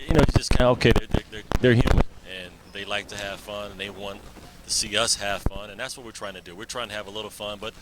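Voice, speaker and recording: A man's voice: lowish voice, fairly even intonation, quick pace, noisy background. A man, a basketball head coach, giving casual answers in a sports interview.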